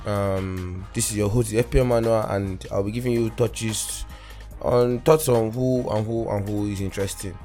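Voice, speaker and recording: Nigerian man, podcast host, low morning voice, soft music under him, rambly. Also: fairly even intonation, normal speed. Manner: rambling, many fillers and self-corrections. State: morning voice, deeper than usual. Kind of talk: casual solo fantasy football podcast.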